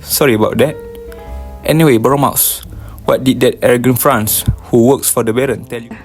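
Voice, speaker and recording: A man playing a weary, formal gentleman near sixty in a stage play, speaking in a measured, slightly halting, melancholy way. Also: medium pitch, steady tone, faint music behind, close to an everyday voice. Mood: reminiscing. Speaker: stage actor.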